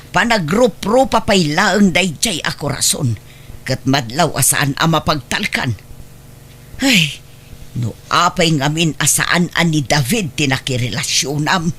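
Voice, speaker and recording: A woman's voice: on the low side, fairly bright tone, breathy edge, exaggerated voice. A woman playing a disapproving, aggrieved older mother with a plaintive, scolding manner and an Ilocano accent, in an audio drama.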